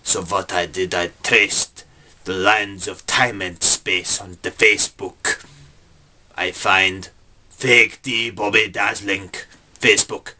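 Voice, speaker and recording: A man voicing a pushy sponsor pitchman who speaks in broken English. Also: steady tone, takes it slowly, pushed and bright, heavily acted. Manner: blunt, salesy.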